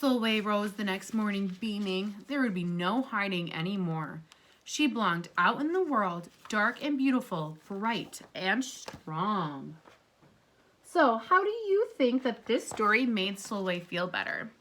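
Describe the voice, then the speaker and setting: A woman reading a picture book aloud to children as a teacher, pausing to put questions to them in an encouraging way. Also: mid-range voice, expressive, a bit bright.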